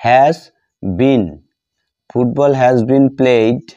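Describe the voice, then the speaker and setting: Very slow-paced Bengali-accented male teacher. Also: mid-range voice, level tone, warm tone, smooth, no echo. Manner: deliberate, dictating word by word with repetition. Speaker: English grammar teacher. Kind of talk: instructional lesson, bilingual grammar explanation. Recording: dull lo-fi sound.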